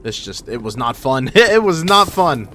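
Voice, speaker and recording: A man's voice: mid-range voice, big pitch swings, unhurried, sharp tone, a little hiss or hum. A man narrating gameplay in a casual, informal way with wry asides, his voice run through a voice filter. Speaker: gaming video host. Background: background music.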